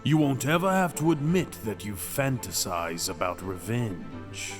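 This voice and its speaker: A man's voice: fairly low pitch, expressive, a little slow, music in the background. A man voicing a possessive, mad dragon king in an ASMR fantasy roleplay, theatrical and doting, purring endearments and dropping into whispers. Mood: tender menace.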